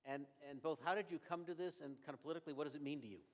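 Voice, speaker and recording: A man's voice: on the high side, very fast, in a large hall. A man moderating an onstage fireside conversation, chatty and often restarting his sentences. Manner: conversational, repeats words when starting sentences. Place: event venue with audience.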